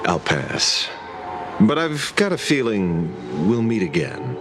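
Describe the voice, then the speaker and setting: A man's voice: lowish voice, big pitch swings, takes it slowly, exaggerated voice. An actor playing a wary, laconic male outsider in a video game, dry and sceptical. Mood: wry refusal. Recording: loud music behind the voice.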